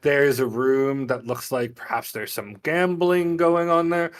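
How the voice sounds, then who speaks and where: A man narrates a tabletop role-playing game as its game master. His voice sits at an average pitch. He describes scenes off the cuff, in a conversational way. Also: a little slow, clear, resonant tone.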